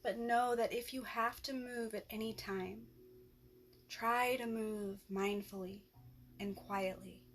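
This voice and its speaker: A woman leading a guided yoga nidra relaxation as the instructor, with gentle, soothing instructions and long pauses. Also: a little high, steady tone, soft background music.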